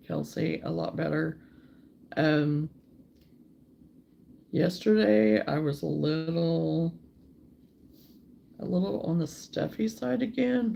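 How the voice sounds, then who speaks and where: A woman hosting a crochet live stream, chatting casually with viewers while she works, her voice still hoarse and froggy from a cold. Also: deep voice, lo-fi sound, a little hiss or hum. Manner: relaxed and chatty, mutters to herself while working.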